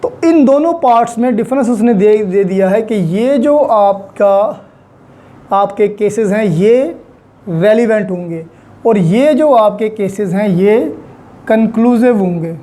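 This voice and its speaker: A law lecturer with a Pakistani accent and a mellow, relaxed tone at a middling pitch. The delivery is deliberate and explanatory, with key terms repeated and unpacked. English legal terms are mixed into the speech.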